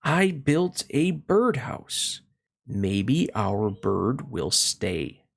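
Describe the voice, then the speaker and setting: A man reading the lines of a calm, helpful dad in a picture book, slow and gentle. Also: medium pitch, creaky, breathy edge, heavily acted. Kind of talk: read-aloud quotes in a picture book.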